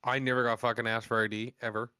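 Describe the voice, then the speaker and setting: A man's voice: medium pitch, level tone, fast talker, sharp tone, smooth. A man in his thirties telling loose, profanity-heavy stories in a casual podcast chat. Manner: heavy swearing, loose storytelling. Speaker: podcast co-host.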